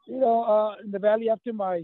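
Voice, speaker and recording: Brief: fifties South Texas man, Spanish first tongue, somewhat high voice, stop-start chat, thin phone-like sound. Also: fairly even intonation, dry recording. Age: 50s. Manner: halting, many restarts and fillers. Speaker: guest, running coach. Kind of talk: casual interview. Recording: tinny phone-like line.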